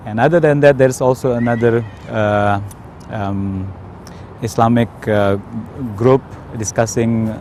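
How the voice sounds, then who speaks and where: A man from Indonesia with an Indonesian accent, telling his personal story in a hesitant, careful way with frequent pauses. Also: mid-range voice, fairly even intonation, darkish tone, a little hiss or hum. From Indonesia, South Sumatra. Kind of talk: unscripted personal testimony.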